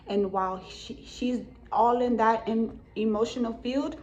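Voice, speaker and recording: A woman improvising a spiritual tarot reading for an audience, speaking in halting phrases and often tagging statements to check the listener is following. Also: on the high side, darkish tone, highs cut off. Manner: halting phrasing, punctuated with repeated checking tags. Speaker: tarot reader and psychic channeler.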